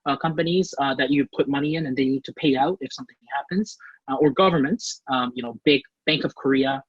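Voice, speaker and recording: A man's voice: medium pitch, fast talker, husky, no echo, highs cut off. A man in his thirties from Canada, a portfolio manager giving an online career class, friendly and eager, with many fillers and restarts. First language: English.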